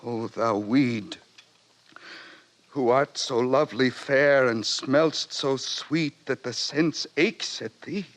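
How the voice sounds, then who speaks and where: A passionate young savage from a vintage radio drama. He is earnest and volatile, with a middle-pitched voice. His phrasing is archaic and declamatory, full of torment. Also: slow pace, a bit bright. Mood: anguished, poetic. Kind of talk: 1950s radio drama acting.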